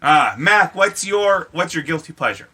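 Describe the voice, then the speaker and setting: A man's voice: on the high side, expressive, measured pace, bright, forceful tone, husky. A man hosting a casual comedy chat, crude, joking and profane. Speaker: podcast host.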